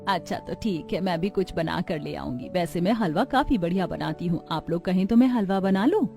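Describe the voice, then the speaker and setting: Woman as humble poor housewife, cartoon story, modest n welcoming, soft music behind. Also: lowish voice, expressive, a little fast, vocal fry. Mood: modest, willing.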